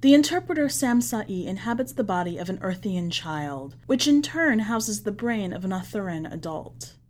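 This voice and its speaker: A woman, the podcast's editor, reading a short story aloud in measured scripted narration. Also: mid-range voice, a little mellow.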